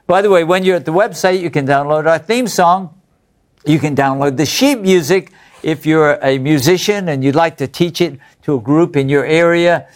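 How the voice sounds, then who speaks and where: A man's voice: fairly high pitch, normal speed. An English man hosting a Bible study program, reading out viewer letters in an upbeat way and chuckling between remarks. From England. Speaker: host.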